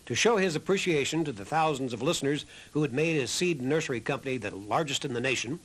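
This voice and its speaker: A man's voice: medium pitch, expressive, quick pace, a bit bright, breathy edge, poor audio. A man reading scripted narration as the narrator of a documentary. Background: music behind the voice.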